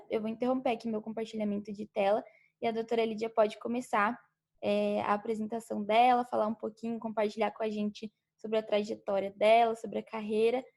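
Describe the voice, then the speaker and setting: A woman hosting an online panel, welcoming the audience and introducing guest speakers in a presenter's style. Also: a little high. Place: remote video call.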